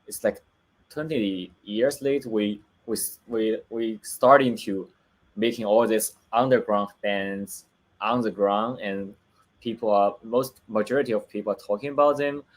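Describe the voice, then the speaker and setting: A film director with a Chinese accent, speaking English as a second language, who talks haltingly on a video call, restarting and searching for words. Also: male voice, on the low side, rough recording. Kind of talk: remote interview.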